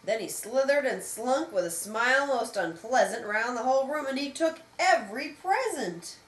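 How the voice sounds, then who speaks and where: High-pitched, expressive woman reading a storybook. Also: normal speed. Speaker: parent reading a bedtime story. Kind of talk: animated children's storybook narration. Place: room at home. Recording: roomy home recording with faint noise.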